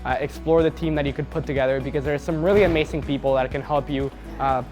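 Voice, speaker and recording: A young man from Mexico City, a university student, gives a relaxed testimonial. His voice sits slightly high, and he speaks casually, filling his pauses with "uh." Soft music plays faintly underneath. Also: low-quality recording. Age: young adult. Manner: relaxed, with frequent "uh" fillers. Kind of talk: testimonial to camera.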